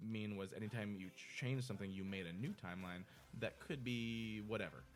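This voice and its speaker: A man co-hosting a casual podcast, explaining things in a profane, animated way and quoting people in his own words. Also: lowish voice, a little fast. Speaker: podcast co-host. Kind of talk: casual chat, film discussion.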